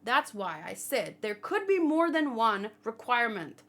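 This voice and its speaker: A woman's voice: on the high side, very animated, unhurried, fairly bright tone. A woman hosting a casual livestream discussion, reasoning aloud and giving her opinions in a conversational way. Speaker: livestream host. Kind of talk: casual chat, manga discussion and commentary.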